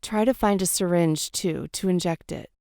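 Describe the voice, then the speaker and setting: A woman's voice: on the low side, moderate pace, creaky, warm tone, clear, resonant tone, no room sound, clean studio sound. A woman acting as an earnest, emotional doctor, a ghostly companion to a survivor, in a radio drama. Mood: anxious.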